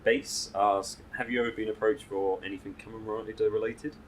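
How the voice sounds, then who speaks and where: Male interviewer, fairly low voice, upbeat, faint room hiss behind. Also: fairly even intonation, moderate pace, low-quality recording. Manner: upbeat, reads fan questions aloud. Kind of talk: casual interview questions.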